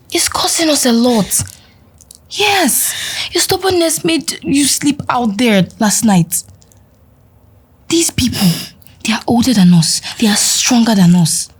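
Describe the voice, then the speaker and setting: A woman playing a gentle, whispering young peacemaker. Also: on the high side, breathy edge, heavily acted. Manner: soft, soothing, often whispered. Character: gentle, submissive young woman comforting her sister. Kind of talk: web drama acting.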